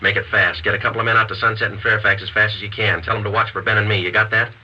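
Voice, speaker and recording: An actor playing a terse, steady police sergeant who narrates in a clipped, matter-of-fact way, in the style of 1950s radio drama. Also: male voice, on the low side, racing, a little hiss or hum. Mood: brisk, urgent. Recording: dull vintage radio recording.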